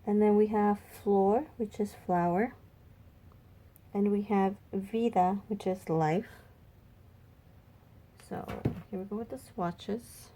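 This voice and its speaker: A woman makeup vlogger chatting casually and thinking aloud, her voice a little raspy and stuffy from a cold. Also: medium pitch, takes it slowly, long pauses, warm tone, slight background noise. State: slightly raspy, congested from a cold. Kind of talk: casual makeup swatch review chat.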